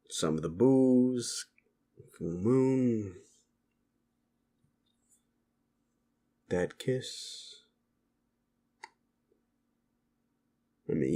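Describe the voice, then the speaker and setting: A man playing a gruff, sleazy werewolf boss with a hidden soft side, talking rough slang in an intimate, halting audio drama style. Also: lowish voice, exaggerated voice. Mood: teasing, suggestive.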